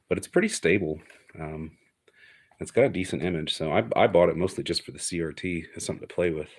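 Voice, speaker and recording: A man's voice: rumbling low, husky. A man giving a casual, unscripted show-and-tell of electronics, explaining technical details in a matter-of-fact way. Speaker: hobbyist presenter of an electronics find.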